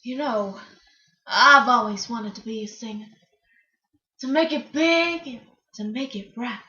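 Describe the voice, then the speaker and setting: Woman playing female country singer, stage monologue, wistful, folksy, slow dramatic delivery, high-pitched, hesitant pauses. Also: sharp tone. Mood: dreamy. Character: wistful, chatty female country singer, dreamy yet defiant. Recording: roomy, slightly dull recording.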